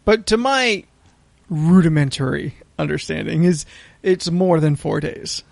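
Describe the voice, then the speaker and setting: A man's voice: on the high side, lively intonation, measured pace, vocal fry, dry recording. A man co-hosting in casual banter, blunt and sardonic, with plenty of swearing. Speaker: podcast co-host.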